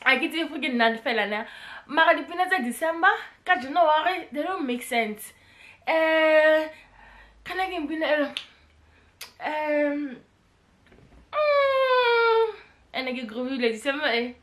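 A young South African woman with a Pretoria accent talks playfully to her camera at home, now and then slipping into snatches of song. Her voice sits high and sounds bright and forceful. The room gives it a roomy echo. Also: animated, a little slow. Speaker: vlogger. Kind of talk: casual vlog chat mixing English and Sepitori. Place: indoors at home, in front of a brick wall.

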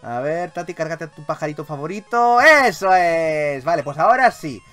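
Animated, higher-voiced Spanish gamer man. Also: normal speed. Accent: Spain. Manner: repeats words and phrases, swears freely. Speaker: gaming YouTuber, host. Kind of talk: casual, excitable Pokémon let's play commentary. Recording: game music behind voice. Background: video game music.

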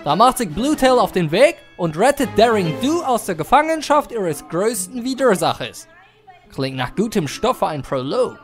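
Actor as grandiose pony film director, theatrical, lively pitch, faint music. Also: moderate pace, lightly acted. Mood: grave, formal.

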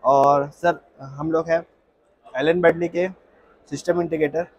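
A man's voice: on the high side, fairly even intonation, measured pace, darkish tone, muffled recording. A man with an Indian accent demonstrating products as an exhibitor, explaining by asking and answering his own questions. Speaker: exhibitor, industrial automation company employee. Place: industrial exhibition stall.

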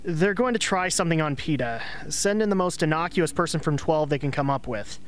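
Man doing weary blunt mentor, radio drama, slightly higher voice, little noise. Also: brisk, lightly acted. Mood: brisk, blunt. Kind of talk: amateur radio play acting.

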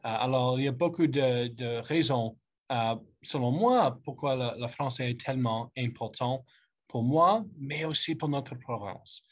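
A man in his thirties whose first language is English, with an English-speaking Canadian accent, giving a formal welcome speech as a politician hosting a youth panel. He talks haltingly, often pausing to search for words in his second language. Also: mid-range voice. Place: office with lamp and bookshelf, indoors. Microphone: webcam on a video call.

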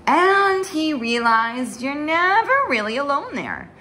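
A young woman reads a children's storybook at an unhurried pace. Her high voice swings widely and dramatically, with drawn-out words and hushed asides. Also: wildly swooping pitch, a little hiss or hum. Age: young adult. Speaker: story time host reading a picture book aloud. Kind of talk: expressive children's storybook read-aloud. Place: small bright room indoors at home.

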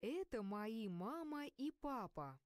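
A woman reading single vocabulary words and short phrases aloud for a language course, slowly and carefully enunciated. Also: fairly high pitch, sing-song, no room sound, clean studio sound. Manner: slow, careful word-by-word enunciation. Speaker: language course audio reader.

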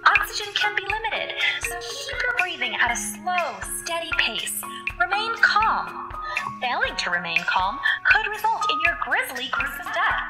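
An actress playing a polite, unflappably cheerful space station computer, formal and deadpan, reading out a manual in a radio drama. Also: female voice, sits high. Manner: measured, formal, deadpan reading of grim advice.

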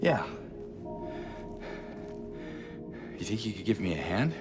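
A man's voice: lowish voice, very animated, quick pace, loud music behind the voice. An actor playing a weary, hesitant man with a subdued, awkward manner in a video game cutscene. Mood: gentle, weary. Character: hesitant, weary, distracted man searching for his wife. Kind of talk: dramatic cutscene acting.

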